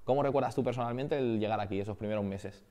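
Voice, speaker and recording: A man's voice: medium pitch, quick pace, dark tone. A man hosting a podcast, asking conversational interview questions. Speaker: podcast host.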